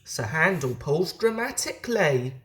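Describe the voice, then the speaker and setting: A woman reading a children's story aloud as an expressive storybook narrator. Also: low voice, a little slow. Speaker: storybook reader.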